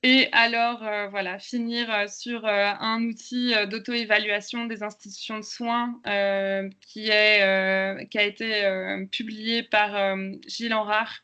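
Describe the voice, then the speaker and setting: A woman's voice: medium pitch, little pitch movement, unhurried, few pauses, sharp tone, smooth, lo-fi sound. A French woman giving a webinar presentation on health, hesitant and full of fillers over a video call. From France. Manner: hesitant, many fillers and restarts.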